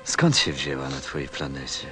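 An actor playing a gentle, reflective storyteller in a radio play, with a soft, thoughtful manner. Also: male voice, rumbling low, lively intonation, normal speed. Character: gentle, reflective adult male narrator recalling his small friend. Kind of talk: radio play narration and dialogue. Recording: music under the voice.

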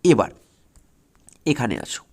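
A man's voice: medium pitch, huge pitch swings, slow pace, creaky, a little mellow, husky. A man coaching school students for exams in an emphatic, directive way, repeating his instructions and checking that they follow. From West Bengal, India. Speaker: school exam tutor.

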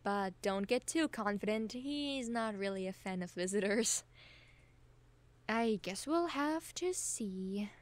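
Actress as young woman, medium pitch, caring and teasing best friend, casual intimate audio roleplay. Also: lively intonation, slow pace, dry recording. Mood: relaxed, slightly flustered. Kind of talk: intimate ASMR-style audio roleplay, casual one-sided chat.

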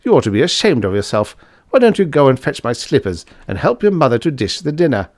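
A man's voice: mid-range voice, big pitch swings, fairly quick, no room sound, clean studio sound, voice pushed up. A man voicing an irascible, pompous older professor in a storybook reading, blustering and imperious. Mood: exasperated. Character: irascible, pompous older male German professor, bellowing indignantly.

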